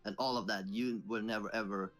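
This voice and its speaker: A young man from Sweden, co-hosting a casual podcast chat and pausing to search for English words. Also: lowish voice, fairly even intonation, a bit bright, faint music behind. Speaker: podcast co-host.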